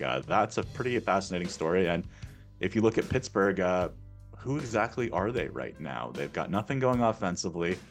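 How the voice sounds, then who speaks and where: A low-pitched man talks through odds and matchups as a guest sports analyst. His delivery is conversational, and music plays faintly behind him. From Canada. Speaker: sports betting oddsmaker, guest.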